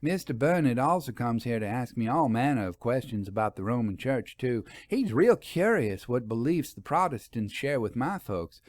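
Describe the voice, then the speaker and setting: A man's voice: mid-range voice, big pitch swings, no echo, lightly acted. A man voicing a cheerful, folksy young country wife in a storybook reading. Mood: wry, cheerful. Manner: folksy, teasing, quick to laugh.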